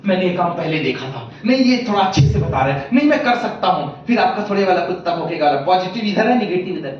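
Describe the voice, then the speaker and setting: A man's voice: high-pitched, fast talker. A man in his twenties giving a motivational stage talk to an audience, telling stories animatedly, acting out voices and sounds, and mixing English phrases into his speech. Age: 20s. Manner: animated storytelling, acting out voices and frog croaks; mixes English phrases into Hindi. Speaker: motivational speaker. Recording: echoey hall, muffled, light noise.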